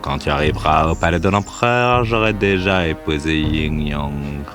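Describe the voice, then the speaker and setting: A man playing a powerful, imperious mandarin villain in a fairy tale, with music clearly behind him. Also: extremely low pitch, normal speed. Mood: smug menace. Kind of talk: dramatic fairy tale acting.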